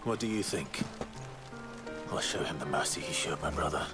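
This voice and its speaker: Low, brooding young warrior. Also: male voice, animated, moderate pace, loud music behind the voice. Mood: cold vengeance. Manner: terse, grim. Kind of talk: dramatic video game acting.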